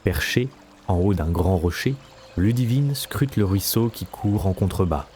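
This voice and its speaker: A man's voice: low-pitched, lively intonation, unhurried, mellow, slight background noise. A man narrating a scripted fantasy audio story as a storyteller. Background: faint music.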